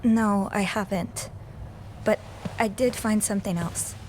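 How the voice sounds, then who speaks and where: A woman's voice: mid-range voice, a little slow, a little mellow, slight background noise, natural voice, poor audio. A woman playing an anxious young hotel maid in a video game, with a hesitant, earnest way of talking. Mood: earnest, hushed.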